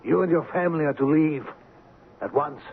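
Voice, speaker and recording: An actor in a radio drama playing an indignant, excitable colonial company man, with theatrical, formal, exclamatory delivery. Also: on the high side, moderate pace, gravelly, narrow, tinny audio. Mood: appalled, outraged. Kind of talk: old-time radio drama acting. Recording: thin, noisy vintage radio broadcast.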